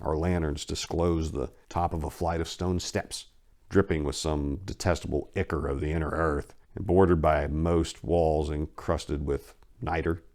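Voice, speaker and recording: A man giving a deliberate, theatrical dramatic reading of a horror story as narrator, swelling on some words and dropping hushed on others. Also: a bass voice, little pitch movement. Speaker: narrator, amateur story reader.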